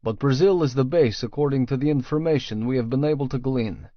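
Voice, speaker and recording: A man playing a cold, calculating male Russian crime boss in an audiobook, measured and menacing. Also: medium pitch, no room sound, natural voice. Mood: commanding, assured. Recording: dry, slightly dull studio sound.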